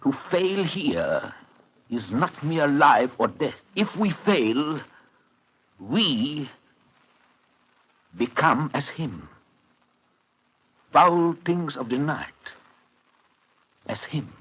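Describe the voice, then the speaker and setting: An actor playing a grave, learned professor who leads vampire hunters, oratorical and deliberate in 1930s radio drama style. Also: male voice, a little high, very animated, a bit bright, husky, full character voice. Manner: oratorical, deliberate pauses, solemn. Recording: muffled, hissy 1930s radio recording.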